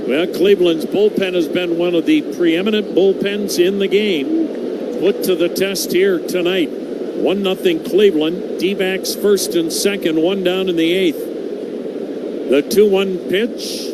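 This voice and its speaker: A man calling baseball play-by-play for radio in measured, descriptive, short clipped phrases. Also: high-pitched, fairly even intonation. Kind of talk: live radio sports commentary. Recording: very noisy live broadcast.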